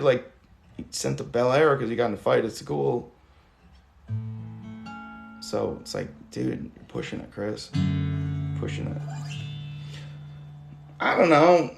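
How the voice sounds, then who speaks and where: A hoarse man in his thirties hosting a casual livestream, talking loosely and swearing now and then. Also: on the high side. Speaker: livestream host. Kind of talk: rambling casual livestream chat. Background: guitar music.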